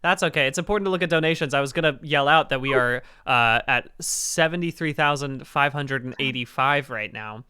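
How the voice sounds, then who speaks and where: A man with a slightly hoarse voice, chatting casually and rambling to fill space on a game stream. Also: brisk, hardly any pauses, bright, forceful tone, no room sound, clean studio sound. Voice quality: hoarse, raspy low register. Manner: rambling, fills space with talk. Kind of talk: casual chat while gaming.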